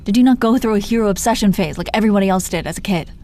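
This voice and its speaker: A woman's voice: medium pitch, racing, dark tone, close to an everyday voice. A woman playing a teasing, protective female supervillain in her thirties in an intimate roleplay audio drama, foul-mouthed but coaxing, full of pet names. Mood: indignant, fond. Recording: noticeable background noise.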